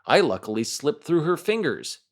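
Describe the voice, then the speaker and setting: An earnest talking straw from a children's tale, performed by a man. The delivery is friendly and dramatic, with lively swings of pitch. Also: moderate pace. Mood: indignant. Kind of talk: storybook character voice.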